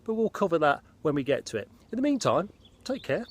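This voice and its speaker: A man's voice: fairly high pitch, big pitch swings, a little fast, slightly rough. Congested man in a chatty boat vlog. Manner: chatty, explains step by step. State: congested with a cold. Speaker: vlogger. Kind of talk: casual DIY vlog. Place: on a narrowboat.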